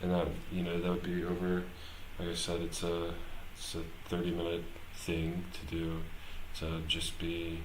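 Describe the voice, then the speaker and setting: A man's voice: low-pitched, monotone, a little slow, few pauses. A man talking casually and unscripted on a live stream, hesitant and rambling as he thinks aloud. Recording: echoey room, clear background noise.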